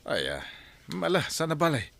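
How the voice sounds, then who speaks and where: A man's voice: on the high side, wildly swooping pitch, moderate pace, gravelly, fairly bright tone, no room sound, full character voice. A man playing a friendly, hesitant village neighbour in a radio drama, with an Ilocano accent. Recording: noticeable background noise.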